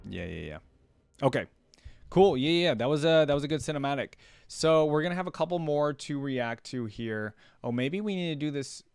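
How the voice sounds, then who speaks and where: A man's voice: a little high, animated, brisk, a little mellow, clear, resonant tone, no room sound, clean studio sound. A man giving casual, off-the-cuff reaction commentary as a video host, thinking aloud and poking fun at himself.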